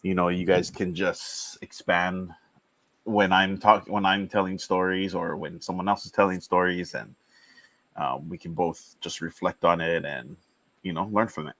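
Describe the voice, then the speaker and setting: A man in his thirties from Hawaii, co-hosting a casual podcast, hesitant with many pauses. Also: sits low, fairly even intonation, a bit bright, dry recording. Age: 30s. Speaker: podcast co-host.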